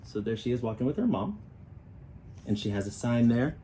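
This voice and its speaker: A man reading a children's picture book aloud in an expressive storytelling style, with short explanatory asides. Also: medium pitch, moderate pace. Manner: expressive, acting out children's dialogue.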